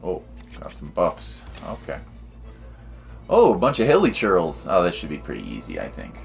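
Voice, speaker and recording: Really low gravelly guy doing casual game stream commentary, slangy, muttering his thoughts out loud, game music in back. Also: huge pitch swings, moderate pace. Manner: slangy, musing aloud to himself. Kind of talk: casual gameplay commentary, chatting to livestream viewers. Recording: music playing behind the voice.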